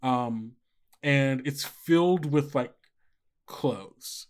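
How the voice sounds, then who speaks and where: A game master improvising horror narration for a tabletop game in a halting, conversational way. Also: medium pitch, a little mellow. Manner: halting, conversational, frequent 'like' and 'you know'.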